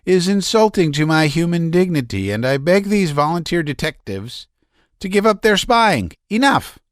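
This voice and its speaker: High shrill hysterical guy stammering and shouting, man putting it on, dry sound. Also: big pitch swings, normal speed, no room sound. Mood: agitated.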